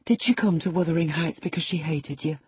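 A woman's voice: sits low, brisk, darkish tone, breathy edge, dry recording. A woman voicing an indignant, loyal elderly woman servant who scolds with emphatic feeling, in an audiobook. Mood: reproachful.

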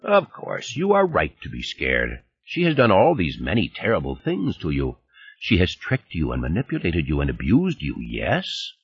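An irritable old man, as a male narrator acts him out, husky and theatrical, his pitch swinging widely as he taunts. His phrasing is formal and his irony is heavy, in the manner of an audiobook character. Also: huge pitch swings, normal speed, highs cut off, exaggerated voice. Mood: teasing irony. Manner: ironic, taunting, rhetorical questions, formal unidiomatic phrasing.

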